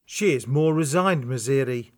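Man doing a quiet, measured priest. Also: medium pitch, close to an everyday voice. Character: tall, discreet middle-aged priest.

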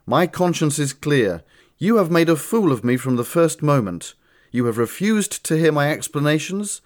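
Narrator playing a proud young noble, raised pitch, forceful indignation. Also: male voice, natural voice.

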